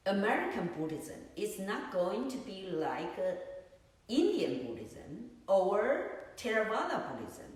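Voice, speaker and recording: A woman gives a Buddhist dharma talk at a slow, patient pace, pausing to search for words. She is recorded on a phone set on a tripod some distance away. Her voice bounces around a very echoey room with a long reverb tail. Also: on the low side. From Taiwan. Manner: unhurried, searching for words, many "you know"s. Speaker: Buddhist teacher. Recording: echoey room, far from mic.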